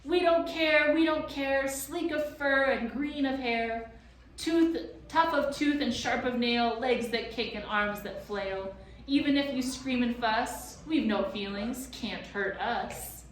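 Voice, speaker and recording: A shrill, jeering swamp creature, put on by a woman reading aloud chants its singsong insults high and loud. The very echoey room rings around every taunt. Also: takes it slowly, a little hiss or hum. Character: mob of shrieking green furry gaboons, mocking.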